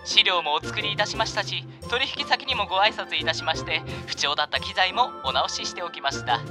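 A man's voice: high voice, expressive, fairly quick. Unctuous, swooping, uncanny salesman of favors. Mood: gleefully polite. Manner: exaggeratedly polite, theatrical honorific speech. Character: unctuous, uncanny male life contractor offering sinister favors. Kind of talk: Japanese radio drama acting. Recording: music behind the voice.

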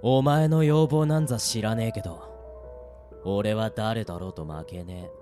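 A man playing a cocky, cruel death-game player in a Japanese radio drama, with rough, taunting, gloating speech. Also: on the low side, a little slow, dark tone, faint music behind, close to an everyday voice. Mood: cold defiance.

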